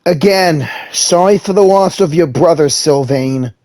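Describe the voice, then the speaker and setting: Brief: actor as a polite young hero, high-pitched and earnest. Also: male voice, a little slow, a little mellow, natural voice. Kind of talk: video game voice acting.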